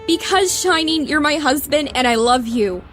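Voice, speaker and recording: A woman playing a gentle, anxious, loving pony princess in a cartoon fan drama, regal but pleading. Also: sits high, animated, normal speed. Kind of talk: animated fan drama acting. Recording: music under the voice.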